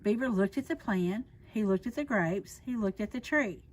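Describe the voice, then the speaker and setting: A woman reading a children's storybook aloud expressively, with deliberate dramatic pauses. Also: medium pitch, animated, darkish tone. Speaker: library storyteller.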